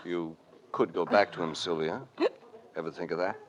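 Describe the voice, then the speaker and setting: Low-voiced, wry American radio detective played by a man. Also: fairly even intonation, normal speed, lightly acted. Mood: firm. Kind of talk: 1940s radio drama acting, hardboiled first-person narration. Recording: noisy old radio broadcast recording.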